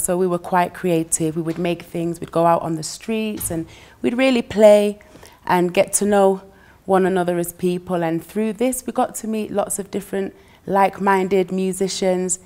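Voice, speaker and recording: A woman from England, chatting as a guest musician and laughing easily between phrases. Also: mid-range voice. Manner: warm, chatty, laughs between phrases, uses "you know" a lot. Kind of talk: relaxed interview chat.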